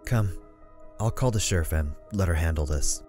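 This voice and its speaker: A man's voice: deep voice, normal speed, darkish tone, close to an everyday voice. A man playing a solemn, consoling but vengeful ally in a roleplay drama, resolute in delivery. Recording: voice under prominent music.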